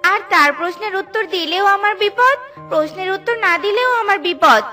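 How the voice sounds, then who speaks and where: A woman's voice: extremely high pitch, normal speed, sharp tone, soft background music. A woman voicing a brave, earnest little female bird in a children's cartoon. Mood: worried.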